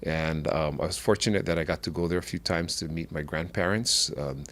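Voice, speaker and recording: Middle-aged hawaiian guy, real low voice, stops and restarts a lot. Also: fairly bright tone, a little hiss or hum. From Oahu, Hawaii. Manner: halting, with many restarts and fillers. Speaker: podcast guest. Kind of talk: casual conversational interview.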